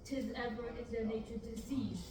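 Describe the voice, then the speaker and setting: A young woman reads old-fashioned dramatic verse aloud in a fairly high voice. She is far from the microphone in a very echoey room with clear noise. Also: steady tone, fairly quick. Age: young adult. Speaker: amateur reader at a student table reading. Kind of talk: mock-Shakespearean script read aloud. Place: large indoor rehearsal room, far camera. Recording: distant camera in echoey noisy room.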